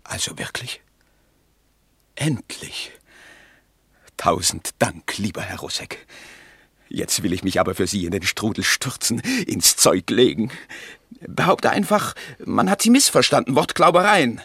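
Excitable campaign plotter, lowish husky voice, radio drama. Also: male voice, unhurried, long pauses, dry recording, studio quality, full character voice. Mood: excited, grateful. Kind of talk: German radio play acting.

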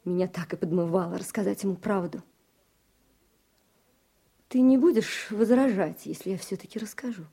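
A gentle, concerned young woman in a classic radio play. Her voice is dark, soft-edged and breathy at a medium pitch, and she coaxes quietly and persuasively. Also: normal speed, pauses often. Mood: tentative, coaxing. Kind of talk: Soviet-era radio drama acting.

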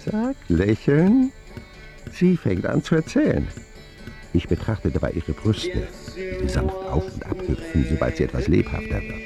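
A man's voice: medium pitch, huge pitch swings, a little slow, music in the background. An actor playing a man wryly recounting his boyhood in vivid first-person radio drama narration. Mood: excited.

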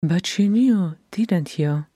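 A woman voicing a calm, motherly elder dwarf sister who coaxes and soothes. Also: fairly low pitch, slow pace, slightly rough, no room sound, clean studio sound, voice pushed up. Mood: soothing.

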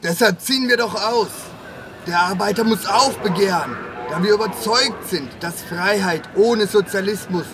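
Man playing a high-voiced fervent revolutionary. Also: lightly acted. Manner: solemn, sloganeering oratory. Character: fervent 19th-century anarchist revolutionary rallying his comrade. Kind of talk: amateur radio play, declamatory speech. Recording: noisy outdoor street ambience.

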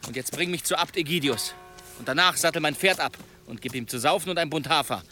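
Brash, imperious medieval traveller, fairly high. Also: male voice, quick pace, pushed and bright, faint music behind. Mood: triumphant command. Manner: gruff, insolent, imperious. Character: brash, commanding traveller demanding entry at monastery. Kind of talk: German radio drama acting.

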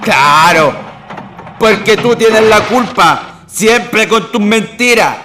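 A man's voice: high voice, lively intonation, slow, background noise. A man playing a furious, jealous husband with a Chilean accent in a radio drama, threatening and spoiling for a fight.

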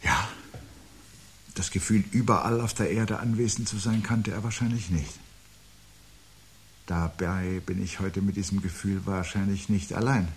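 An actor playing a brooding, anxious man who prophesies the end of life, speaking gravely and deliberately with a husky voice in a 1970s radio play. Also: low voice, fairly even intonation, a little hiss or hum. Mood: bleak, insistent. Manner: grave, deliberate, articulate, philosophical monologuing.

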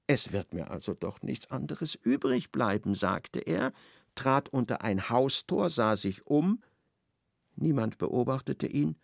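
A man giving measured, ironic literary narration in a radio play. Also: mid-range voice, creaky, dry recording, studio quality.

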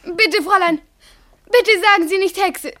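Little village girl, really high voice, pleading and scared, radio play, dry sound. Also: normal speed, bright, forceful tone, no room sound. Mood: desperate pleading. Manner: pleading, plaintive. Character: frightened, pleading little village girl looking for her mother. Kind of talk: German radio play acting.

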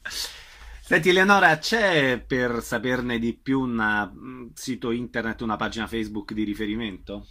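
Bright-voiced male radio interviewer. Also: mid-range voice, unhurried. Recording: radio broadcast.

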